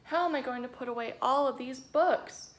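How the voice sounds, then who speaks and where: Woman reading as flustered, exclaiming library volunteer, raised pitch. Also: moderate pace, natural voice. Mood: moaning, dismayed. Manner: exclaiming, exasperated. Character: flustered male library volunteer, shouting and moaning.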